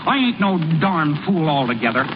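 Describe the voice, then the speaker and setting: Folksy ballplayer, crackly old radio. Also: male voice, on the high side, sing-song. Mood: shy, miserable. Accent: American. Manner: folksy, slangy, halting when shy. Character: lovesick small-town ballplayer and delivery man, plainspoken and brash. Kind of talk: 1930s-40s American radio acting. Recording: muffled, noisy old radio broadcast.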